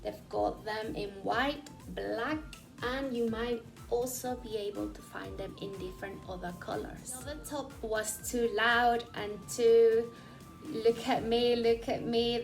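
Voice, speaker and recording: A woman's voice: fairly high pitch, gravelly, low-quality recording. A young woman from Peru, chatting casually in a vlog and explaining things with frequent "uh" fillers. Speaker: vlogger. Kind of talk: casual vlog recommending souvenirs.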